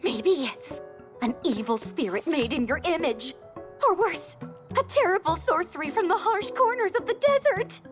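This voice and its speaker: An actress playing an excitable, chatty young castle maid with a wild imagination in a video game cutscene, theatrical and gossipy. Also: female voice, extremely high pitch, normal speed. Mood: dramatic alarm. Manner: theatrical, gossipy, breathless speculation. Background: music.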